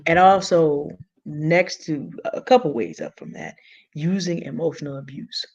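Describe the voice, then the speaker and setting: A woman with a big, low contralto voice, talking through a point deliberately and earnestly as a panelist in an online discussion. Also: lively intonation, a little mellow, muffled recording. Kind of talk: measured explanatory talk.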